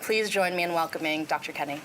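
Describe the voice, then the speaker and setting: A woman with a somewhat low voice reads a prepared introduction of a guest speaker. Her delivery is smooth and formal. Faint room noise sits behind her. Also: quick pace, a bit bright, poor audio. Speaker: postdoctoral researcher introducing the speaker. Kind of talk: read introduction. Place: lecture room.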